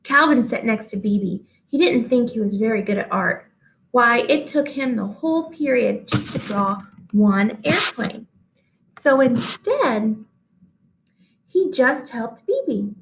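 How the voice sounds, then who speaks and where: High-voiced woman reading a children's story. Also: lively intonation, measured pace, slight background noise, poor audio. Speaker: read-aloud storyteller. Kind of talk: expressive children's storybook narration.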